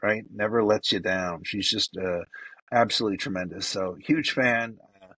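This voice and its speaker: A man with a low, husky voice hosts a fan podcast, piling praise into casual shout-outs. His delivery is effusive and rambling, padded with fillers. The sound is dry and close, with no room around it. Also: moderate pace, no room sound, rough recording. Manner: effusive and enthusiastic, loose with fillers. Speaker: podcast host.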